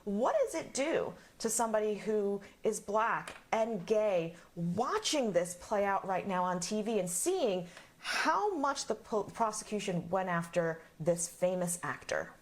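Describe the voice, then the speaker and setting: A woman's voice: medium pitch, big pitch swings, unhurried. A woman working as a news anchor, presenting and interviewing in a live broadcast style.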